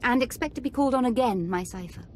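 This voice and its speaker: A woman's voice: a little high, lively intonation, fast talker, slight background noise, lightly acted. An actress playing a capricious, sadistic young noblewoman in a game cutscene, imperious and playfully menacing. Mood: playful menace. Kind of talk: video game cutscene acting.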